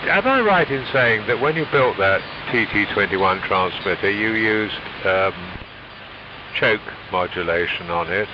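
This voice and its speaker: Low-voiced man on hissy muffled radio. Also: lively intonation, measured pace. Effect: radio transmission. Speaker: amateur radio operator. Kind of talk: casual ham radio chat. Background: radio hiss.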